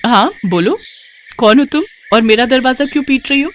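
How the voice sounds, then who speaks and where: A woman voicing a wealthy female showroom owner who speaks briskly and kindly. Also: high-pitched, lively intonation, slight background noise, voice pushed up. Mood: businesslike.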